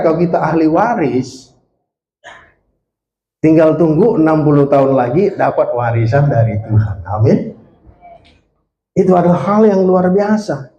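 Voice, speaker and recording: A man in his late forties with an Indonesian accent preaches to a congregation, pitched a little high for a man. He keeps throwing questions at the listeners and calling for amens. His voice rings through an echoey church hall. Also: warm tone, lo-fi sound. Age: 40s. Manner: engages the congregation with questions and amen calls. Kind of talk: sermon.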